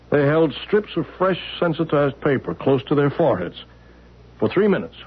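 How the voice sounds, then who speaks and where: An actor playing a calm professor who explains things in a measured way in an old radio drama. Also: male voice, mid-range voice, breathy edge. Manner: measured, lively storytelling delivery. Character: calm older professor neighbor, gentle and explanatory. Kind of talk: 1970s radio drama acting. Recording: tinny lo-fi old radio broadcast.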